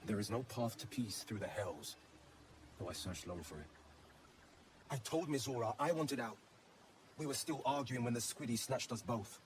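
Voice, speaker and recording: An actor voicing an earnest, haunted heroic warlock telling a tale in a brooding way, in a video game. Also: male voice, medium pitch, expressive, normal speed, long pauses, noisy background, close to an everyday voice. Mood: regretful. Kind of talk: dramatic video game monologue.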